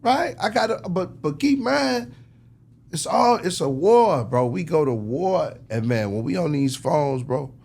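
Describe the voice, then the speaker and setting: A man's voice: on the high side, lively intonation, measured pace, gravelly, darkish tone. A man speaking as a record executive being interviewed, casual and passionate, making his case emphatically with lots of slang and repetition. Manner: emphatic, persuasive, slangy, repeats himself. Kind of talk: casual, passionate shop talk.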